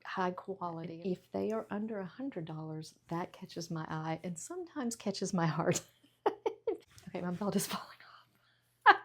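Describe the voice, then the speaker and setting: Chatty fifties woman with a gravelly edge. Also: on the low side, lively intonation, normal speed. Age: 50s. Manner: chatty, laughs often, self-deprecating asides. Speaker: fashion vlogger. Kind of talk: casual try-on haul chat.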